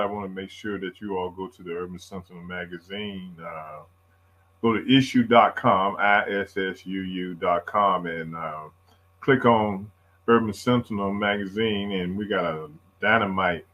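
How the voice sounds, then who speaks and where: A man's voice: lowish voice, sharp tone. A man hosting a radio interview show, chatty and rambling with plenty of fillers. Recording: dull lo-fi sound.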